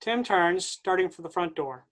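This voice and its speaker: A woman's voice: lowish voice, animated, moderate pace, slight background noise. A woman reading stage directions as scripted narration for a staged play reading.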